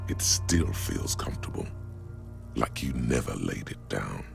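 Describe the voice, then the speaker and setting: A man reading measured, ominous second-person narration for a video game. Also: rumbling low, vocal fry, music in the background.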